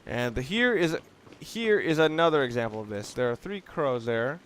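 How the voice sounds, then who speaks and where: A man's voice: mid-range voice, moderate pace. Man doing gameplay commentary, noisy recording. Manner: excitable, swears casually. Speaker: video game commentator. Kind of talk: casual let's play gameplay commentary. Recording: clearly noisy home recording.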